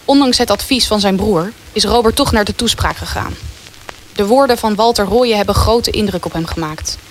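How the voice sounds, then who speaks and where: Mid-pitched woman narrating a radio play. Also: normal speed, a little hiss or hum.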